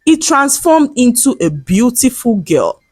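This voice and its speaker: Slow, breathy woman telling a folktale. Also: a little high, big pitch swings, darkish tone, dry recording, studio quality. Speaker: storyteller. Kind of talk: scripted folktale narration.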